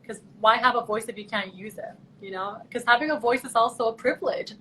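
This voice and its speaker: A woman's voice: a little high, brisk, bright, forceful tone, slight background noise, low-quality recording. A young woman from Canada, a pageant contestant, chatting earnestly and thoughtfully over a video call. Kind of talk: casual video call chat.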